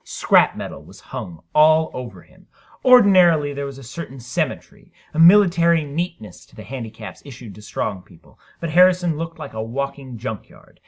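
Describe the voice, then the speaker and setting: A man's voice: mid-range voice, big pitch swings, normal speed. A man narrating a short story as a dramatic reading, with theatrical, emphatic delivery.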